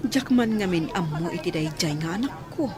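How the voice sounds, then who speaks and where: An actress voices an ashamed middle-aged mother in an Ilocano radio drama. Her voice is on the low side and apologetic, with a clear bustle of outdoor crowd behind her. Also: expressive, a little fast, close to an everyday voice.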